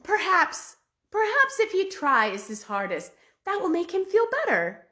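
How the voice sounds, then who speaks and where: A woman voicing a brave, calm brother with bones of iron, speaking in a reassuring, confident way. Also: very high voice, a little slow, voice pushed up, heavily acted.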